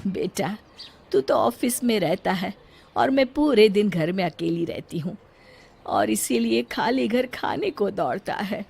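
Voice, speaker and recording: A woman voicing a gentle middle-aged mother-in-law in a family story, soothing and motherly as she keeps the peace. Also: medium pitch, lively intonation, a little slow, gravelly, slightly rough, full character voice. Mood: coaxing.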